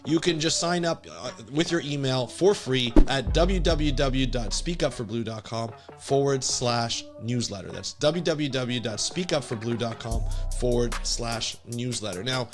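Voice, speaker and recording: A man with a stuffy, congested voice from a cold, hosting his own podcast. He talks at a middle pitch in a lively, casual way, full of fillers and restarts, with faint music behind him. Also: measured pace, hardly any pauses. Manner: energetic, conversational, with frequent fillers and restarts. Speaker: podcast host. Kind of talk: solo podcast talk.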